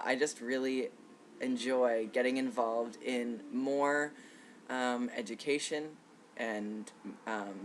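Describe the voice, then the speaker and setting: A man in his thirties giving a casual personal video update, relaxed and reflective, with frequent fillers and soft laughs. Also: mid-range voice, slight background noise. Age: 30s. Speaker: vlogger.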